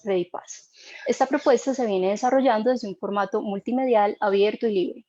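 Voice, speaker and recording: A woman moderating an online panel, reading a formal introduction in a measured way. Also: on the high side, darkish tone, muffled recording.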